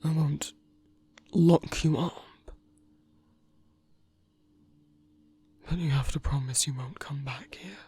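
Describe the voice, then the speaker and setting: A performer voicing a seductive, protective villain in an intimate romantic ASMR roleplay, gently coaxing in a halting, husky voice that often drops to a whisper. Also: medium pitch, no room sound. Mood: tender, coaxing. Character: seductive villain, protective, tenderly persuading an abandoned hero.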